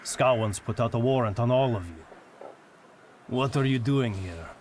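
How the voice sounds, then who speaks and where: A man's voice: medium pitch, expressive, moderate pace, darkish tone, lightly acted. An actor playing a brusque, cryptic henchman in a video game, curt and commanding. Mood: stern, cryptic. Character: brusque, cryptic male henchman of a mystic master. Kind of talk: dramatic video game acting. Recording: clear background noise.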